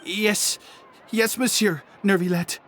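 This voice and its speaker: An actor playing a nervous, submissive underling in a video game, hesitant and stammering. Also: male voice, sits high, fairly even intonation, slow, a bit bright, a little hiss or hum. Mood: nervous, guilty.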